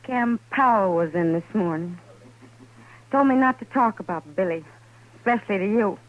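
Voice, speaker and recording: A woman's voice: medium pitch, lively intonation, moderate pace, lightly acted. A woman playing a frank, defiant frontier woman with a tender streak, plain-spoken and understated in a vintage radio western. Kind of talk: 1950s radio western acting. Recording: dull vintage 1950s radio recording.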